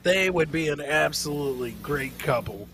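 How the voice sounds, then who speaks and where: A man's voice: on the high side, expressive, normal speed, background noise. A middle-aged man chatting and joking as he hosts live reactions to viral clips, often repeating his phrases. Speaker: comedy host.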